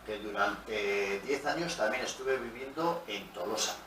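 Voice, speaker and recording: A middle-aged man from Pamplona, a musician answering interview questions in an unhurried, storytelling way, his voice fading quietly at the ends of phrases. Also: lowish voice. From Pamplona, Spain. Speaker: guest musician. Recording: roomy, echoey, from a distance, faint noise.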